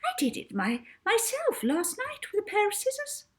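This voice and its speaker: A woman's voice: very high voice, huge pitch swings, moderate pace, pitched above the performer's own voice, exaggerated voice. A woman voicing a bad-tempered, toothless older orphanage mistress who fawns on her favourite and snaps at others, in a storybook reading. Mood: gushing, fawning.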